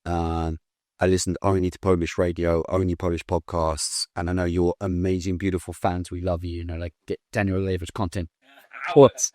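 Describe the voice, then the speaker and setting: A British man in his thirties talking in a casual interview, blunt and animated, swearing now and then. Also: deep voice, normal speed, no echo, studio quality. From Britain. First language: English. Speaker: guest.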